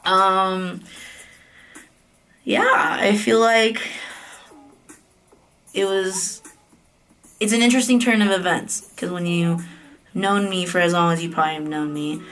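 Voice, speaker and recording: A woman's voice: medium pitch, fairly even intonation, slow pace, pauses often, a bit bright. Chatty American woman in her late twenties. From United States. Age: late 20s. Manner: chatty and candid, with frequent fillers and self-corrections. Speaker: vlogger. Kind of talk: casual vlog update. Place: office private room at night.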